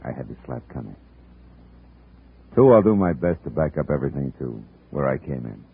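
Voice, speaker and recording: An actor playing a dry, wisecracking hard-boiled private detective who also narrates, in a 1950s radio drama. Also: male voice, very deep voice, very animated, normal speed, vocal fry, husky, heavily acted. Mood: wry. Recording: tinny old radio broadcast, faint music.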